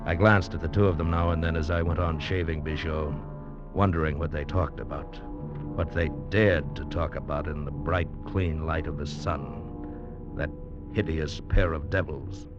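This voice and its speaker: Convict narrator, deep voice, grave, dramatic storytelling, musical underscore, dull old radio recording. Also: male voice, fairly even intonation, moderate pace, music in the background. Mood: grave, brooding. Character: grave male convict barber narrating his own tale. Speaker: first-person narrator.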